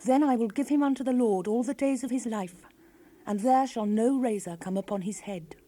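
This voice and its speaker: A woman's voice: fairly high pitch, moderate pace, warm tone, lightly acted. A woman playing a devout, sorrowful biblical wife in a dramatized scripture reading, speaking fervently in formal archaic language on an old cassette. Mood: pleading. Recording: noisy unprocessed 1970s cassette rip.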